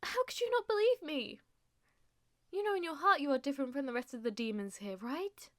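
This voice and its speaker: A woman's voice: high voice, fairly quick, lightly acted. A woman playing a theatrical, delusional schoolgirl in an audio roleplay, speaking in grandiose formal phrases and stammering when flustered. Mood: indignant, grandiose. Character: melodramatic, delusional schoolgirl who fancies herself a demon lord's aide. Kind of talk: ASMR audio roleplay acting.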